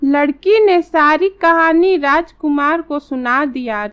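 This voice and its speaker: High-pitched, slow woman storyteller. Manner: measured, storytelling. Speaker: story narrator. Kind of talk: scripted children's story narration in Hindi. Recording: slightly dull, lo-fi sound.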